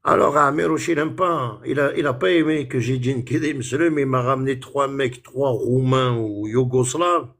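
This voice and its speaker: A man with a husky, breathy voice talks on a phone live stream in a heated, casual rant. He is indignant and keeps circling back to the same points. He mixes Arabic words into his speech. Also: mid-range voice, normal speed, few pauses, fairly bright tone. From France.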